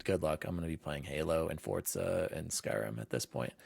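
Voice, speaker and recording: A man's voice: extremely low pitch, expressive, brisk, creaky, darkish tone, husky, dry recording. A man hosting a gaming podcast, chatting casually and reading out news lists in an informal, conversational way. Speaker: podcast host.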